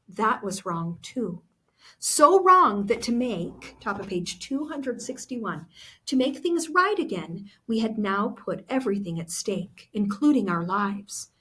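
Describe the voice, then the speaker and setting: A woman teacher reading a novel aloud to students, expressively, pausing to explain and check that they follow. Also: mid-range voice. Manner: expressive, instructive, checks understanding with rhetorical questions. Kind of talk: classroom read-aloud with explanatory asides to students.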